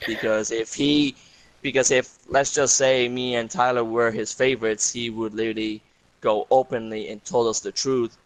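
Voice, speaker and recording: A man's voice: medium pitch, flat delivery, moderate pace, no room sound, poor audio. Blunt Puerto Rican man, dry sound. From Puerto Rico. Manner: blunt and aggrieved, swears often, leans on "literally". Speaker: podcast guest. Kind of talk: casual interview chat.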